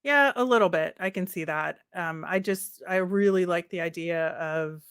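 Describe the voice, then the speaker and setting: A woman's voice: fairly low pitch, normal speed, a bit bright. A woman speaking as a sports podcast co-host, giving conversational commentary.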